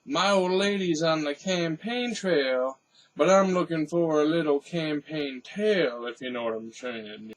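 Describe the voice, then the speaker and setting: Man putting on a drawn-out, high-pitched sleazy politician. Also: natural voice, poor audio. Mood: sleazy, boastful. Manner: drawn-out, exaggerated delivery. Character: sleazy, leering male politician on the prowl.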